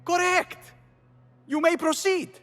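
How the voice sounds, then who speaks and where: A man playing a ceremonious, excitable gatekeeper in a video game, his voice ringing in long reverb. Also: very high voice, takes it slowly, full character voice.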